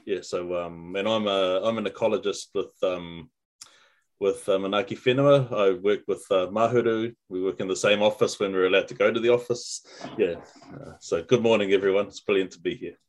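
A man from New Zealand, an ecologist, introducing himself hesitantly with many pauses and fillers. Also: sits low, steady tone. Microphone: video call.

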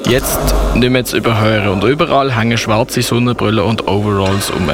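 A man's voice: on the low side, steady tone, a little fast, background noise. A man narrating a scripted Christmas radio play as a storyteller. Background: music.

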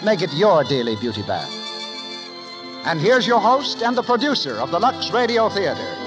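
A male announcer from 1930s radio speaks in a high voice with big, animated swings of pitch. His delivery is formal, polished and promotional. Music sits behind him on the old broadcast. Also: normal speed.

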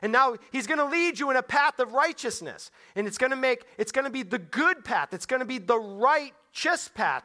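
A man preaching a sermon in a conversational way, swinging between hushed and loud passages and repeating his phrases. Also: very high-pitched.

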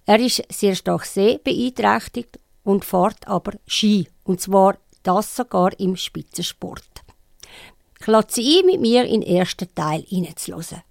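A woman's voice: mid-range voice, animated, a little slow, vocal fry, no echo, studio quality. A woman with a Swiss German accent hosting a conversational interview. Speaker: radio interview host.

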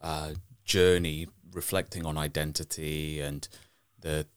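Deep smooth-voiced male podcast host. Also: flat delivery, measured pace, no echo, studio quality. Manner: keen, eagerly engaged questioning. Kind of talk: interview questions.

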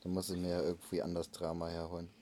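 A man's voice: low-pitched, completely flat delivery, rapid-fire, warm tone. A young man co-hosting a casual chat podcast, rambling with hesitant restarts. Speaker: podcast co-host.

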